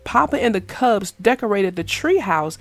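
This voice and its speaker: A woman reading a children's picture book aloud in an expressive, sing-song storytelling manner. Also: medium pitch, normal speed, no echo, soft background music. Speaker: storybook reader. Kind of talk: children's read-aloud narration.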